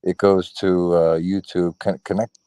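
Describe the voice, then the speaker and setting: Deep-voiced man talking over a phone. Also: flat delivery, dark tone, smooth, no echo, studio quality. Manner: earnest, repeats his points, halting restarts. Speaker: guest, fellow show host. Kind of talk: casual livestream chat.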